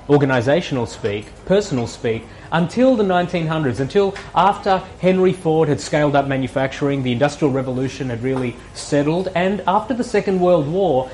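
Relaxed Australian man giving a talk. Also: medium pitch, slight background noise. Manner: relaxed, many ums, lists points with rising emphasis. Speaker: speaker. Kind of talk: morning talk to professionals.

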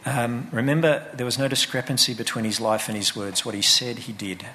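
A man's voice: medium pitch, steady tone, fairly quick, pushed and bright, slight background noise. A man giving an earnest, sermon-like talk about faith and family.

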